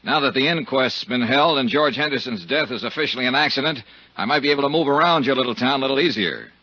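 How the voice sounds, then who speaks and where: An American actor playing a tough, dry-witted insurance investigator in a 1950s radio drama, clipped and sardonic. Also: male voice, medium pitch, quick pace, sharp tone, no room sound. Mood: insistent. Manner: clipped, hard-boiled, sardonic. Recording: muffled 1950s radio recording.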